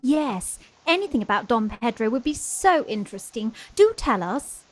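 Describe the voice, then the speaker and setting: A woman's voice: on the high side, very animated, moderate pace. A woman acting a sweet, shy, earnest young Victorian girl in a radio farce, with a polite manner.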